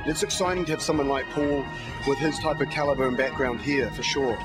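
A man's voice: mid-range voice, fairly even intonation, fairly quick. A man, a missionary parent, speaking appreciatively about support for his family in a mission video. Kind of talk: interview in a ministry video. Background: music.

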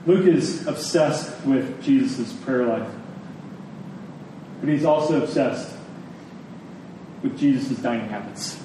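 A man preaching a sermon in an earnest, emphatic manner, repeating key phrases with deliberate pauses. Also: medium pitch, mellow. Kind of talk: sermon to a congregation. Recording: very echoey room, distant mic, faint noise.

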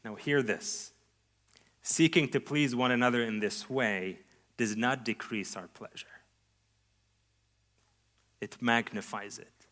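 A man preaching a sermon in an earnest, measured way, his voice rising on key phrases and trailing off softly at the ends of thoughts. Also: fairly low pitch. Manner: earnest, measured preaching with sweeping rises and soft trailing endings. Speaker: pastor.

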